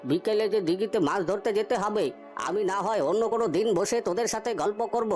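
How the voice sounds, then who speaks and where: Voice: mid-range voice, fast talker, a little mellow, soft background music, natural voice. A performer playing an easygoing, chatty old village fisherman in a cartoon story, reassuring and a little bossy. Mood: friendly.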